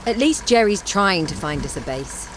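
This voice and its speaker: Woman as a commanding, animated theatre director. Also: mid-range voice, normal speed, background noise, lightly acted. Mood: matter-of-fact. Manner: decisive, commanding. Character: firm, idealistic female theatre director. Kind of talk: audio drama acting.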